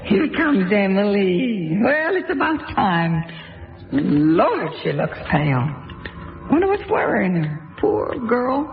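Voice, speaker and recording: A woman playing a stubborn, feisty old spinster who scolds in folksy, sharp-tongued turns of phrase. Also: mid-range voice, very animated, takes it slowly. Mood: cantankerous. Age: older. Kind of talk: radio drama acting. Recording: dull recording under loud music.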